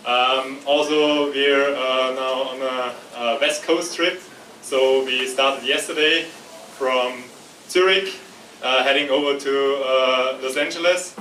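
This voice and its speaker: A man from Austria giving a tech meetup talk, halting with many fillers and restarts, jet-lagged. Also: medium pitch, steady tone, bright, forceful tone. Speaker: conference presenter. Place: meetup room. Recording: echoey room, distant mic, faint noise.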